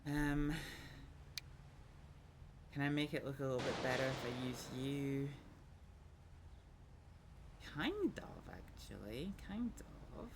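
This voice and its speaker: A Scottish man streaming a city-building game, thinking aloud in a rambling way with many pauses and ums while he chats with viewers. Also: medium pitch, big pitch swings, creaky, noisy background. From Scotland. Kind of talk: casual gameplay livestream commentary.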